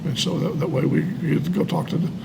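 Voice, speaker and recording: An older man giving casual, earnest explanations with anecdotes, often pausing mid-sentence. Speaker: speaker on alcoholism recovery, retired medical worker. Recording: clear background noise with low rumble.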